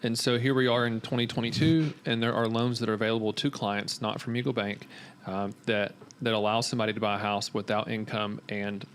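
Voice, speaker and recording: An American man speaking as a podcast guest, explaining in a measured conversational way with hesitant pauses. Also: on the low side, level tone, a little hiss or hum. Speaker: mortgage lender guest.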